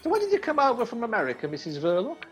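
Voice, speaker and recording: Woman acting a lively, breezy detective. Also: fairly high pitch, racing, soft background music. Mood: casually probing. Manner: jovial, inquisitive. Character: breezy, chatty, probing male detective. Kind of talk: radio play acting.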